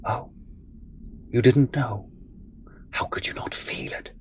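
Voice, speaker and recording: A man playing a cryptic, sinister figure in an audio drama, with a measured, knowing, theatrical delivery. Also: medium pitch, whispered, lightly acted.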